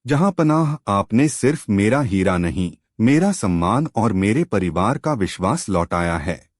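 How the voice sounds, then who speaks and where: Man voicing a humble, honest petitioner, expressive. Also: fairly low pitch, a little slow, no echo, studio quality. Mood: grateful. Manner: deferential, earnest.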